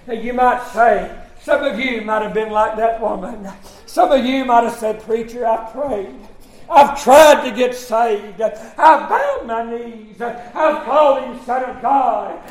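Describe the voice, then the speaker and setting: Guy preacher, really high voice, fiery revival sermon, drags words out, echoey room. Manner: emphatic, drawn-out words with frequent "uh" punctuating phrases. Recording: echoey, roomy live sound.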